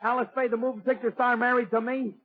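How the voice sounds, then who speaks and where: A man's voice: very high voice, little pitch movement, fast talker. An actor playing a brash, mocking married man in a 1940s radio comedy, on a muffled old recording. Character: brash married man who denies knowing the hero, mocking.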